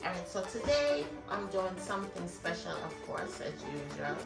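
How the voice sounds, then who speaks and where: A woman's voice: on the low side, sing-song, moderate pace, vocal fry, far from the mic. A woman chatting casually to viewers as she hosts a cooking demonstration. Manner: chatty, conversational, addresses viewers directly. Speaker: cooking vlog host. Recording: music behind, roomy, off the mic. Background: music.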